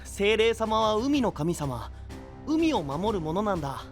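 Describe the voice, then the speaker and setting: A man playing a cheerful, easygoing young guide to sea legends in an anime-style audio drama, explaining in a breezy, drawn-out way. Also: sits high, sing-song, faint music behind, heavily acted.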